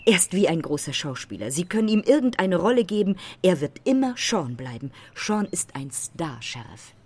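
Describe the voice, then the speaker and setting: A woman playing a self-assured, provocative photographer in a German radio drama, with a husky voice and pointed, theatrical phrasing. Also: fairly low pitch, big pitch swings, moderate pace. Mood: teasing, admiring.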